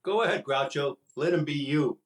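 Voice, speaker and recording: A man's voice: on the high side, moderate pace, lightly acted. An actor playing a cheerful, goofy male comic sidekick in an old-time radio comedy recreation.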